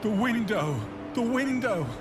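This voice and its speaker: A man's voice: very high-pitched, expressive, a little slow. An actor playing a terrified, doomed man narrating a cosmic horror game in a theatrical, anguished way. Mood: panicked terror. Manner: theatrical, anguished, swinging from hushed to crying out. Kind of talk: dramatic horror visual novel voice acting. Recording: loud music over the voice.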